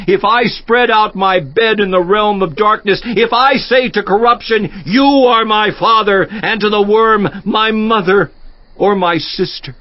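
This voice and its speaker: An actor playing a grief-stricken, worn-out righteous man lamenting to God in a dramatized scripture audiobook, with anguished, drawn-out delivery. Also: high voice. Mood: defiant sorrow. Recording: dull lo-fi audio, echoing room.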